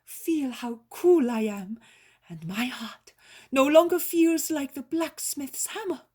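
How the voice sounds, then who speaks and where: A woman voicing a thin, grey-haired old woman who speaks in high, wavering, breathy cries. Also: big pitch swings, takes it slowly, a little mellow, full character voice. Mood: overjoyed. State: feverish and weak at first.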